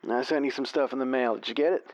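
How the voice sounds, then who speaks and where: An actor playing a genial older rodeo radio announcer with a folksy, theatrical, persuasive way of talking. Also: male voice, medium pitch, quick pace, dry recording, close to an everyday voice. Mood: earnest. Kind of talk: radio play acting.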